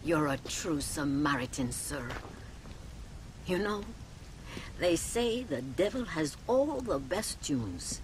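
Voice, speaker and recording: An actress playing a dry-witted older mother superior, speaking in a measured, sardonic way in a video game cutscene. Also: sits low, sing-song, noisy background, exaggerated voice. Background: game score music.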